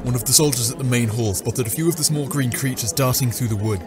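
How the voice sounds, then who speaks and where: A man voices an alert soldier reporting to his commander in a fantasy audio drama. The pitch is medium, and music plays clearly underneath. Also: fast talker, lightly acted.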